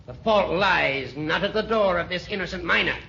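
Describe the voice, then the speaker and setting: An actor playing a crotchety, sanctimonious old judge in 1940s radio comedy style, formal, pompous and scolding. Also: male voice, high voice, normal speed, vocal fry, pushed and bright, heavily acted. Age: older. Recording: muffled, hissy 1940s radio broadcast.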